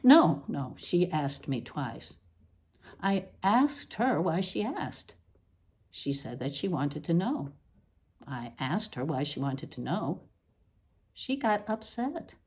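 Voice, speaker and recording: A woman giving a deliberate, expressive literary reading of an essay, with pointed emphasis on the words. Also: deep voice, slightly rough. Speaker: reader. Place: at home.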